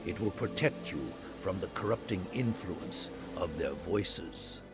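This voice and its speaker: An actor playing a solemn high priest in a fantasy game, with a ceremonial, declamatory manner. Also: male voice, lively intonation, unhurried, creaky, loud music behind the voice, full character voice. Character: solemn high priest of a dragon-hunting order. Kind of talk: dramatic fantasy game acting.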